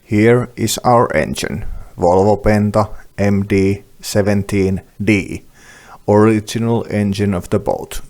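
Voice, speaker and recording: Slow-spoken Finnish man narrating. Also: lowish voice, darkish tone. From Finland. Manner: plain, careful non-native phrasing. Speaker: sailing vlogger. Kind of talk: dubbed voice-over narration. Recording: voice-over with music behind.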